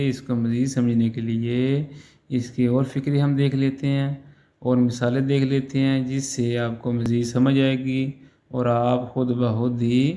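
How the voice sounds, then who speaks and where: A man's voice: medium pitch, mellow. A man teaching a language lesson, explaining patiently and checking in often with his listeners.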